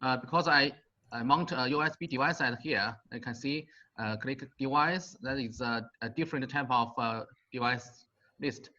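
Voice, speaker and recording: A man on a video call explaining a setup, halting with many fillers. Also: mid-range voice, sharp tone. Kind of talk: online class discussion. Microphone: computer mic on video call. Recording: dull lo-fi call audio.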